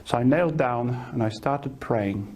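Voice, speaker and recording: A middle-aged priest from Poland, a Polish native speaker, gives a personal testimony, speaking slowly and haltingly with pauses and fillers. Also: male voice, mid-range voice, darkish tone. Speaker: parish priest.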